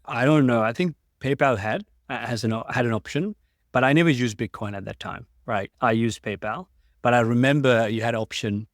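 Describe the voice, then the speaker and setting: Halting man from Sri Lanka. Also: medium pitch, no room sound, clean studio sound. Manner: halting, many restarts and fillers. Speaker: guest, tech founder. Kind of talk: casual interview storytelling.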